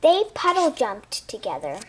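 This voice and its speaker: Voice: medium pitch, wildly swooping pitch, unhurried, breathy edge, poor audio. A child reading a picture story aloud expressively, with sound effects and asides to young listeners. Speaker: storybook reader.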